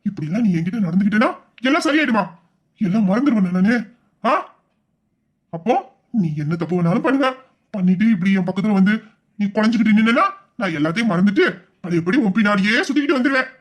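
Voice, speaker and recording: A man playing a young man who is hurt and quick to anger, scolding and exasperated in an audio drama. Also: high-pitched, animated. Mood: furious. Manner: scolding, exasperated, shouting at times. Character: young man, wounded and quick-tempered lover.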